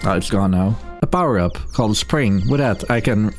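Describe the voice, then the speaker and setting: A man's voice: lowish voice, lively intonation, normal speed. Man casually commentating over game music. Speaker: streamer, speedrunner.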